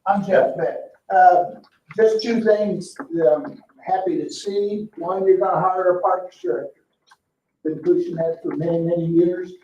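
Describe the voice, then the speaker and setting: A man's voice: high voice, fairly even intonation, unhurried, dark tone, slight background noise. An American man giving a plain-spoken, informal public comment at a town meeting. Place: indoor public meeting room. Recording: echoey, distant, dull room audio.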